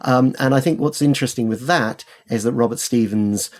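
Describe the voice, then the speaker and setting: A man talks as a literary expert in a conversational interview. His delivery is halting, with hesitations and restarts, and he doubles words when he wants to stress a point. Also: medium pitch. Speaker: interview guest, literary scholar and editor.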